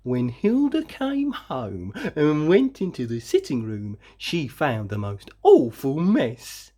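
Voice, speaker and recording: Female storybook reader, expressive narration, unhurried pace, animated intonation, dramatic drawn-out emphasis. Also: extremely low pitch. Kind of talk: expressive children's read-aloud narration.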